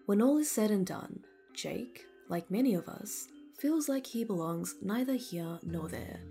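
A woman with an Australian accent reading scripted documentary narration in a measured storytelling manner as the host. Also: mid-range voice, expressive, mellow, faint music behind.

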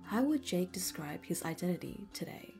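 A woman with an Australian accent reading scripted documentary narration in a measured storytelling manner as the host. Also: lowish voice, lively intonation, warm tone, faint music behind.

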